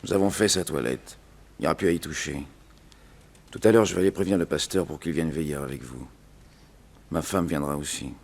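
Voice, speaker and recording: A kindly country doctor with a deep voice, in a 1960s French radio play. He is grave and fatherly, consoling with solemn words. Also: a little fast, gravelly, long pauses, full character voice.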